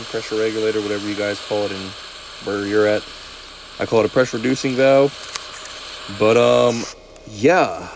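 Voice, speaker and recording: A young man working as a plumber, chatting casually and teasing his viewers while filming himself on a job inside a house. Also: mid-range voice. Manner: playful, teasing, calls viewers "baby". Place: basement and tiled bathroom in a house, indoors. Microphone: phone held or worn, first-person view. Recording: noisy job-site recording.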